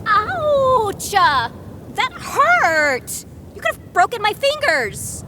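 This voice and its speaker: A woman playing a cute, sulky teenage girl sidekick in an audio drama, with a bratty, expressive manner. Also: very slow, full character voice. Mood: annoyed, sulky. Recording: rumbling engine-like noise behind voice.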